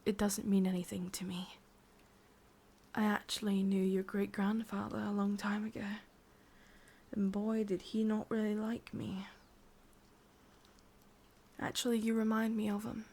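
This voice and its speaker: Breathy whispering English witch, played by a woman. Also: mid-range voice, little pitch movement. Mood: smug, knowing. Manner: soft, intimate whispering, teasing and unhurried. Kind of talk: whispered ASMR roleplay.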